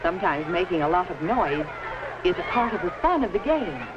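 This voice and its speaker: A patient, orderly schoolteacher of the 1950s explains things in a lively, carefully phrased way. It is scripted classroom-film speech, with a muffled vintage sound and faint hiss. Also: female voice, lowish voice. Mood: patient explaining. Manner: measured, didactic, carefully phrased. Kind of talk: scripted educational film acting.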